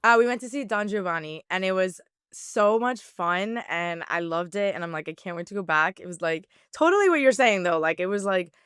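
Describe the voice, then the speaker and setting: A woman's voice: mid-range voice, animated, brisk, a bit bright, clean tone, no echo, studio quality. A woman hosting a podcast, talking in a bubbly, upbeat way with lots of "like". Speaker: podcast host. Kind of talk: casual interview chat.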